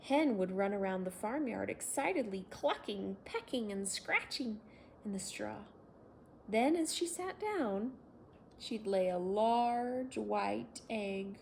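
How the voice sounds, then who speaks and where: A woman hosting a children's read-aloud, telling a bedtime story in a gentle, expressive way. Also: mid-range voice, slow pace, vocal fry, slight background noise. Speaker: children's story reader and host.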